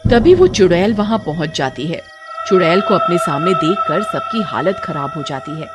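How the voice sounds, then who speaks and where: A woman's voice: fairly low pitch, big pitch swings, normal speed, loud music behind the voice. A woman giving scripted narration of a horror story.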